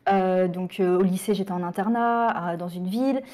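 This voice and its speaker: A French woman in her late twenties, a guest chatting casually and filling pauses with "euh". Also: on the low side, steady tone, dark tone. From France. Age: 20s. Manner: chatty, with frequent fillers. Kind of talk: casual interview chat.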